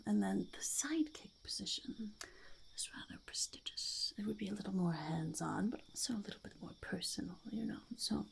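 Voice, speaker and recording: A woman voicing a scheming, self-important goblin in a whispery ASMR roleplay, halting and mock-formal as the goblin interviews would-be henchmen. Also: mid-range voice, a little mellow. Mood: probing, secretive. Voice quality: whispery, breathy, creaky.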